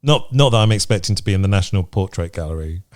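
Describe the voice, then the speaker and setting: A man's voice: low-pitched, animated, fast, darkish tone. A man co-hosting a podcast, chatting casually and frankly in a matter-of-fact way. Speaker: podcast co-host. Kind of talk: casual chat. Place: remote podcast recording.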